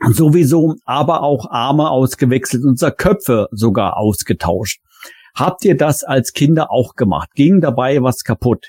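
A man's voice: mid-range voice, a little mellow, breathy edge, no room sound, studio quality. A man hosting a fan podcast in a casual, conversational style. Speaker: podcast host.

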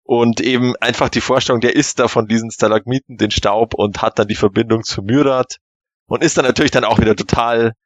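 Halting man chatting, dry close sound. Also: mid-range voice, steady tone, no echo. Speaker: podcast panelist. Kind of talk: casual enthusiastic fan chat.

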